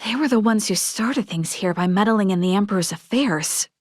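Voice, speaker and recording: A woman's voice: medium pitch, moderate pace, no echo, clean studio sound, close to an everyday voice. A woman playing an earnest young female military officer in a space video game, speaking formally and articulately with resolve. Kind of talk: scripted video game character dialogue.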